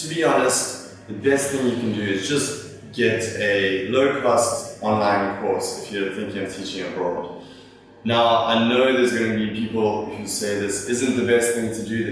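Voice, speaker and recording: Easygoing young man, echoey far-off sound. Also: fairly low pitch. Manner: rambling, with fillers and restarts. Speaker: vlog host answering viewer questions. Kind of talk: casual talk to camera. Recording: echoey room from far off, faint noise.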